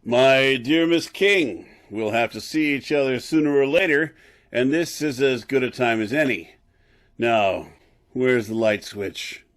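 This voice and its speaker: Man acting a boozy haunted professor, old radio drama style, mid pitch, blunt and deliberate, kinda formal. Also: fairly bright tone, natural voice. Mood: brusque. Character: blunt, haunted, hard-drinking male professor, world-weary.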